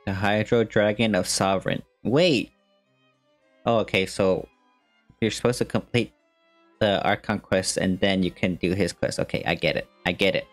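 A young woman with a very low-pitched voice plays through a game and comments casually. She reads dialogue aloud and drops in short reactions over faint music. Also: steady tone, normal speed. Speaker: gamer commenting on gameplay. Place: indoor room, on webcam.

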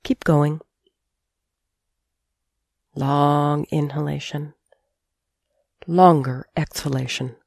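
A middle-aged woman guiding a sleep meditation as a teacher, calm and soothing, speaking in measured phrases with long pauses. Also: sits low, vocal fry, no echo, clean studio sound. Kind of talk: guided sleep meditation narration.

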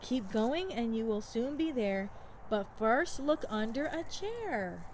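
A high-pitched woman talks in a playful, encouraging sing-song for a children's vlog. She is recorded on a handheld camera outdoors, with clear background noise around her. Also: very animated, slow, a little mellow. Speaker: co-host filming a child's scavenger hunt. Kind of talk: playful kids' vlog chat, reading clues aloud. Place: outdoors at a playground and yard.

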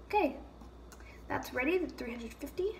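A woman's voice: high-pitched, expressive, normal speed, darkish tone, slight background noise. A young woman chatting casually while baking in her home kitchen, with lots of "like" and "um" and jokes at her own expense. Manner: chatty, lots of "like" and "um", self-mocking. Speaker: vlogger. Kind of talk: casual baking vlog chat. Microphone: camera mic, from across the room at times. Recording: roomy kitchen sound from off the mic.

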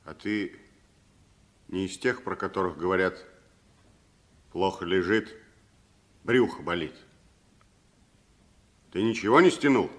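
An actor playing a good-natured, plain-spoken wandering tramp in a 1960s radio play, folksy and expressive. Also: male voice, low-pitched, slow, long pauses. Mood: stern.

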